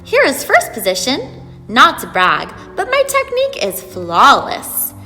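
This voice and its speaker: Woman voicing an exuberant storybook little girl, very animated. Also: sits high, measured pace, exaggerated voice. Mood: proud, excited. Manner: theatrical, boastful, emphatic. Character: exuberant, proud little girl who loves fancy words. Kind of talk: storybook read-aloud. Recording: echoey hall, music behind.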